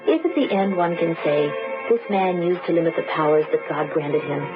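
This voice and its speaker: A man's voice: fairly high pitch, moderate pace. A man reading a quotation aloud in a formal, scripted radio style. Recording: dull old radio, music behind.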